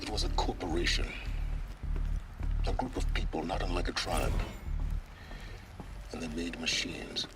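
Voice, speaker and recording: An actor playing a cool, knowing male mentor who explains things in a measured way in a video game. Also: deep voice. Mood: matter-of-fact. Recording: music under the voice. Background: music.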